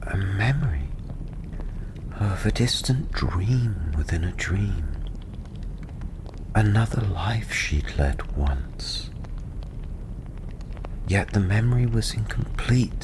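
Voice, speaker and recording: Hushed, low, slow male ASMR storyteller. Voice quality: breathy, often half-whispered. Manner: hushed, soothing, unhurried. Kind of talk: softly spoken ASMR fantasy story narration. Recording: steady ambient background noise.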